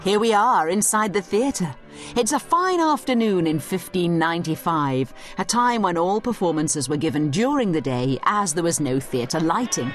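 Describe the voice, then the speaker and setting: A woman giving scripted educational narration as a study guide, instructive and expressive, speaking directly to the listener. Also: lowish voice, moderate pace, faint music behind.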